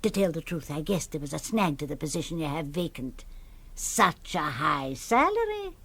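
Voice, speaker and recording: An actress playing a plain-spoken, grimly confiding Scottish widow and governess in a radio play, speaking dramatically. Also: female voice, low voice, big pitch swings, normal speed, fairly bright tone, husky, full character voice. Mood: frank, confiding.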